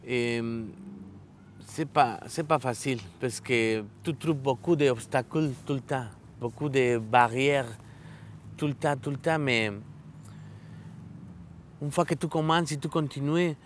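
Earnest Mexican man, slow halting French. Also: mid-range voice, steady tone, a little hiss or hum. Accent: Mexican Spanish. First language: Spanish. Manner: earnest, halting, with frequent restarts and fillers. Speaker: adult literacy student. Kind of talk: filmed testimonial interview. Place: outdoor riverside park, at a picnic table.

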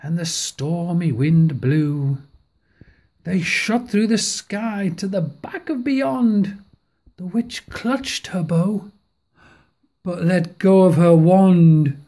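A woman reading a picture book aloud at home in an expressive, dramatic way, recorded on a phone held over the book. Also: fairly low pitch, takes it slowly. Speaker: storybook reader.

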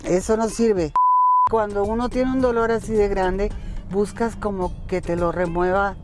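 A woman in her fifties from Colombia with a Colombian accent, telling candid stories at an easy, measured pace during a casual interview in a car. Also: medium pitch, fairly even intonation, over loud music. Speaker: singer. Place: inside a car. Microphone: car-mounted camera.

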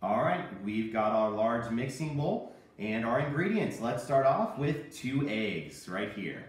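A man in his thirties presenting a cooking lesson for children, playing the animated straight man. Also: medium pitch, measured pace. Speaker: host of a children's cooking show. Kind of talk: scripted instructional presenting with puppet banter. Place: home kitchen. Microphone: camera a few meters away. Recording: very echoey room, distant microphone.

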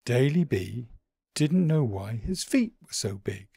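Guy reading a kids storybook, dramatic, husky bit creaky, takes his time. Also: mid-range voice, slow pace, no room sound, clean studio sound. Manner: expressive, dramatic telling. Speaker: author reading own picture book. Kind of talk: children's storybook narration.

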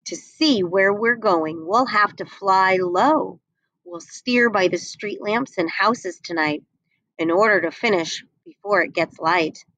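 A woman's voice: medium pitch, expressive, slow pace, fairly bright tone, no echo, heavily acted, low-quality recording. A woman voicing Santa, a round, worried old man, calling commands in a storybook reading.

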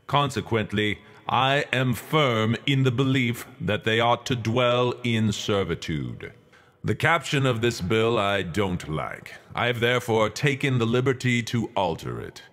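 A man performing a dramatic reading as a stern, resolute 19th-century religious leader, orating in a formal, measured, declamatory manner. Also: mid-range voice, lively intonation, a bit bright. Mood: stern, insistent. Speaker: reader.

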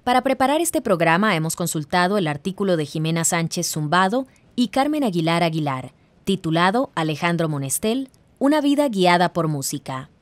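A woman's voice: lowish voice, sing-song, a little fast, no echo. A woman presenting a programme in scripted narration.

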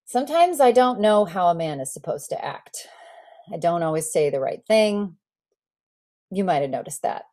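A woman's voice: on the low side, sing-song, moderate pace, mellow. Self-absorbed artist guy voiced by a woman, blunt, emphatic, confiding, conversational, literary reading. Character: blunt, self-absorbed artist man, recalling his life.

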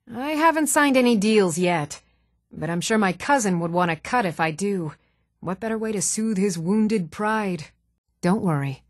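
A woman playing poised, articulate townswomen and noblewomen in a fantasy video game, with measured, formal delivery. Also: medium pitch, no room sound, studio quality, close to an everyday voice. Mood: polite, composed. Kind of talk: scripted fantasy game dialogue.

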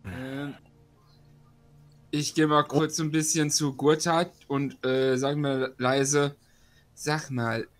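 A man's voice: fairly high pitch, level tone, takes it slowly, long pauses. A man taking part in a tabletop role-playing game, talking casually in a tentative, questioning way. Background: background music.

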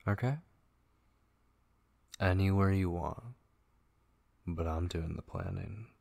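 A man playing a tender, devoted young gamer boyfriend in an ASMR roleplay, speaking softly, intimately and hesitantly with a husky voice. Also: sits low, fairly even intonation, slow, full character voice. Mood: casual, attentive. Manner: soft, hesitant, intimate, with murmured asides. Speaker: ASMR voice actor.

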